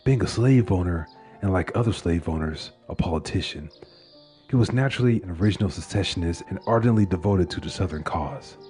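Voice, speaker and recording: A man giving a measured, solemn narration of a literary dark tale. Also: low-pitched. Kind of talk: dramatic horror-tale narration of a literary short story. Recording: music under the voice. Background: music.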